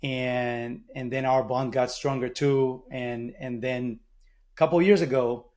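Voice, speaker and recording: A man's voice: mid-range voice, steady tone, unhurried, darkish tone. A middle-aged man from Brazil with a Brazilian Portuguese accent, a guest in an interview, telling his career story in a thoughtful way with frequent restarts. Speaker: guest, marketing executive.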